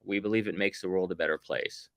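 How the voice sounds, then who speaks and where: A man hosting a casual sci-fi review podcast, talking loudly and upbeat, projecting his voice. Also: low voice, fairly even intonation, fast talker, no room sound, studio quality. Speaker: podcast host.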